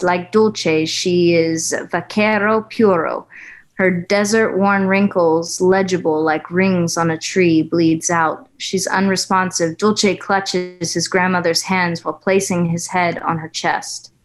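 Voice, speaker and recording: A woman's voice: on the low side, fairly even intonation, slow pace. A woman reading stage directions steadily at a table read.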